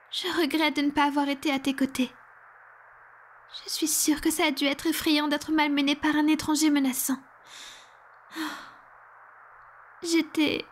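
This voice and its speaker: A woman playing a powerful, protective female vampire in an ASMR roleplay, speaking in a soft, breathy, formal and self-assured way. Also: high voice, a little hiss or hum. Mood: soothing, protective. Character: powerful protective female vampire, commanding yet tender lover.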